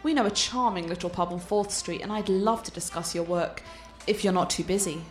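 A woman's voice: medium pitch, a little fast, faint music behind, close to an everyday voice. A woman playing a cultured, confident art scholar and teasing fiancée in a radio play, articulate and playful. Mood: enthusiastic.

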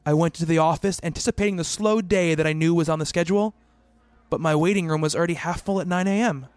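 A man playing an earnest psychiatrist who narrates his own story in a radio play, in a measured, gentle, literary manner. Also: on the high side, no room sound, natural voice. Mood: measured, reflective.

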